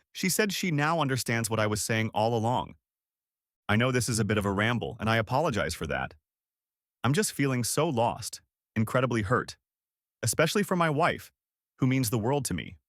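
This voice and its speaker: A man's voice: medium pitch, fairly bright tone, no room sound. Man narrating in a dry, close recording. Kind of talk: scripted first-person story narration.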